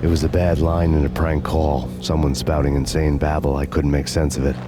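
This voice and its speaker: An actor voicing a bitter, brooding fugitive cop in a grave, deadpan noir narration for a video game. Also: male voice, rumbling low, a little fast, music in the background. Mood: grim deadpan.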